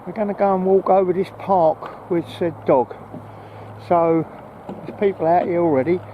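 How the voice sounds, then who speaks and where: A man's voice: sits high, fairly even intonation, normal speed, narrow, tinny audio. A man chatting casually and a little hesitantly to camera as he walks outdoors in a park. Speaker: vlogger. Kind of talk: casual chat to camera while walking. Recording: noisy outdoor recording with wind.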